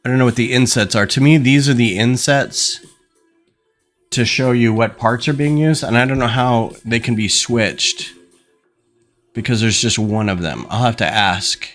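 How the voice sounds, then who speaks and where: A man's voice: medium pitch, moderate pace, fairly bright tone. Man with a stuffed-up nose streaming from his desk, rambling and thinking aloud, mid-range voice, faint music behind. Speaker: LEGO designer streaming. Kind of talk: casual livestream chat. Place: home desk. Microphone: desk microphone.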